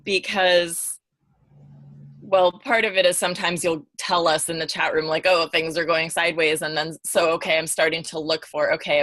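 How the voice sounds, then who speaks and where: Lady on a podcast, slow and halting, bright voice on the low side, dry sound. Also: dry recording. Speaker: podcast panelist. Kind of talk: casual podcast chat.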